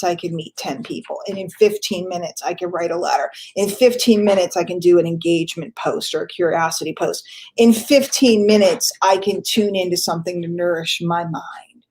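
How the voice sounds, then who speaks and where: A woman's voice: lowish voice, normal speed, a little mellow. A woman hosting a daily motivational business call, a little stuffy from a cold, speaking earnestly and repeating key points. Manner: casual, conversational, with fillers and self-corrections. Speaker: host.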